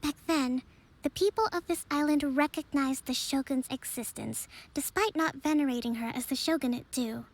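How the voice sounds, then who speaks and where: A calm young priestess leader. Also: female voice, high-pitched, moderate pace. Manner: composed, formal, gently authoritative. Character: young female divine priestess, calm and measured. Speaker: island leader. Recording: game audio with soft music behind.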